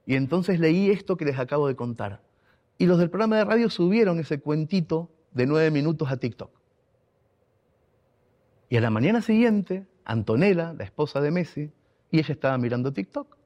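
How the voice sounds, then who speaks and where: A man's voice: a little high, big pitch swings, normal speed, highs cut off. A man from Argentina with an Argentine accent, telling a personal story in an emotional, emphatic way, repeating words for weight. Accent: Argentine Spanish. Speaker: radio contributor and writer.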